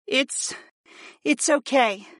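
A woman's voice: high-pitched, very slow, a bit bright, dry recording, exaggerated voice. A woman playing a timid, discouraged student summoner girl in an audiobook, speaking in a hesitant, faltering way. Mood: hurt, tearful. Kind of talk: audiobook character acting.

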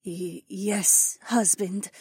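A submissive catwoman wife played by a woman in fantasy audiobook dialogue. Her voice is breathy and soft, with careful, formal deference. It is recorded dry, without room sound. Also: mid-range voice, unhurried, no room sound. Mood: meek, careful.

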